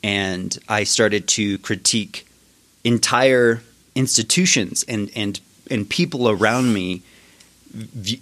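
A man's voice: lowish voice, takes it slowly, fairly bright tone, dry recording. A man in his thirties, a musician guest on a podcast, talking thoughtfully and hesitantly. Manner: thoughtful, hesitant, many fillers and restarts. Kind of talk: casual interview chat.

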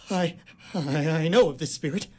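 An actor playing a nervous, stammering young schoolmaster in a community radio drama. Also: male voice, on the high side, a little slow, a little mellow, breathy edge. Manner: stammering, flustered.

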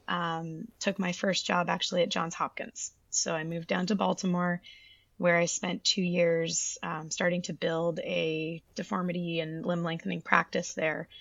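A woman's voice: fairly low pitch, creaky, no room sound, lo-fi sound. A woman in her thirties from Canada, a pediatric orthopedic surgeon, telling her career story in an enthusiastic, chatty way. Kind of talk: interview answers.

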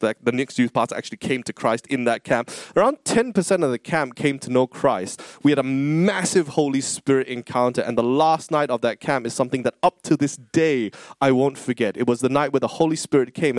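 A man in his thirties preaching a sermon, telling a personal story in an animated, earnest, conversational way. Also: medium pitch, no echo. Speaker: pastor.

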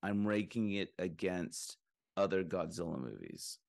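A man's voice: low-pitched, expressive, unhurried, creaky. A man co-hosting a podcast, chatting casually about films in a rambling way with many fillers and restarts. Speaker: podcast co-host.

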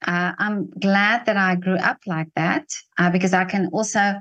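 Hesitant South African woman in her sixties. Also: medium pitch. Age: 60s. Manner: hesitant, searching phrasing with repeats. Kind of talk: reflective casual conversation.

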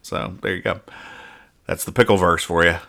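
Male podcast host, deep but punchy and bright, mock-earnest explainer with stumbling, casual delivery. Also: fairly even intonation, normal speed, vocal fry. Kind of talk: casual comic-strip review banter.

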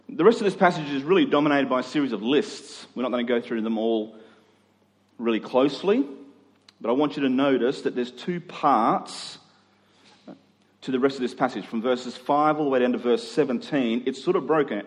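A middle-aged man preaching in a conversational expository style, using rhetorical questions and emphatic repetition. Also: mid-range voice. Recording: long hall reverb.

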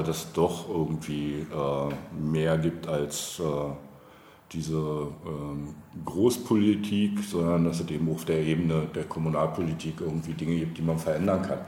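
A middle-aged man from East Germany giving reflective, conversational interview answers as a local politician, halting and searching for words. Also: very deep voice, darkish tone. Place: indoor room, face-to-face over coffee. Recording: echoey room with faint background noise.

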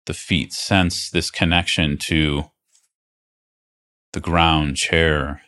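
Male meditation teacher, very deep voice, gently instructive, reassuring, leaves space between phrases. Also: level tone. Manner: unhurried, reassuring, phrases broken by pauses.

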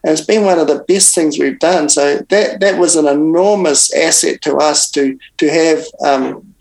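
Unhurried older man recounting. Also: fairly high pitch, gravelly, darkish tone, poor audio. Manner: unhurried, with frequent restarts and "um"s. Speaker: guest, Bible translator. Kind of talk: reflective interview storytelling.

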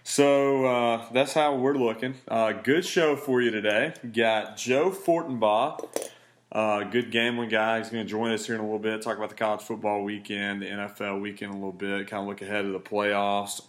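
A man hosting a casual betting podcast, speaking in a laid-back, rambling way with a raspy voice. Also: on the low side, steady tone, moderate pace. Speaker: podcast host.

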